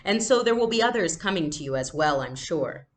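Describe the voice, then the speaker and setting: A woman voicing a protective, decisive storybook father who speaks earnestly and deliberately. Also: lowish voice, animated, close to an everyday voice. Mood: feigned cheer.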